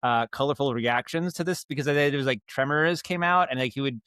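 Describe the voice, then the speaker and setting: A man's voice: mid-range voice, brisk, pushed and bright, clean tone, dry recording. American man telling stories, dry close sound. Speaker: podcast guest, audio drama writer and producer. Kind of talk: casual interview storytelling.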